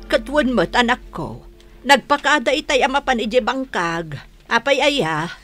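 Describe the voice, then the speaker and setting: Older mother, Ilocano accent, fairly high lively voice, radio drama, light music behind. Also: measured pace. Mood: unconcerned. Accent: Ilocano Filipino. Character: older mother of a grown married daughter. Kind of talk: Filipino radio drama acting.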